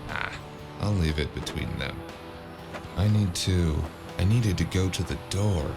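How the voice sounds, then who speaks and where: A man's voice: deep voice, a little slow. An actor playing a dazed, cocky hardboiled brawler who narrates his own fight in clipped, terse phrases, in the style of a noir audio drama. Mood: dazed, grim. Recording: loud music under the voice.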